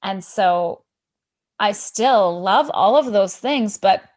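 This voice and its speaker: A woman guest, an author and educator, chatting lively about her own story in a podcast interview. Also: mid-range voice, slow. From Canada.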